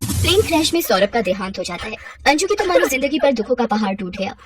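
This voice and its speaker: A woman's voice: a little high, big pitch swings, quick pace, music in the background. A woman reading a scripted children's story with dramatic delivery. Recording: roomy echo, distant from mic.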